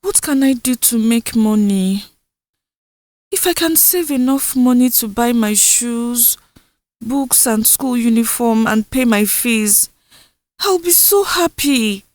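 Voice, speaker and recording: Folktale teenage girl, played by a woman: high, pleading, emotional, stubbornly determined, very dry close sound. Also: slow, darkish tone, no room sound. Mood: wistful.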